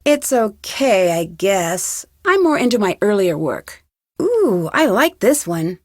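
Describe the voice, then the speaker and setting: A woman voicing a curious, determined young female detective in an adventure game, talking to herself in clear, purposeful scripted lines. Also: medium pitch, big pitch swings, takes it slowly, dry recording, studio quality. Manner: clear self-talk, inquisitive and purposeful. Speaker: game protagonist.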